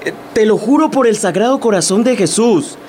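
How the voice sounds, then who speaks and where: Guy playing domineering husband, blustering, high pitched and all over the place, radio drama. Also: lively intonation, moderate pace, a little mellow, a little hiss or hum. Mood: pleading denial. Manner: blustering, menacing. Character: hot-tempered, domineering, two-faced husband.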